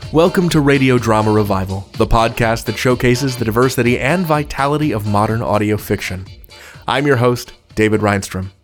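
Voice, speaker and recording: Male podcast host, literary and rhetorical. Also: medium pitch. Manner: literary, rhetorical, deliberate phrasing. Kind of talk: scripted podcast introduction.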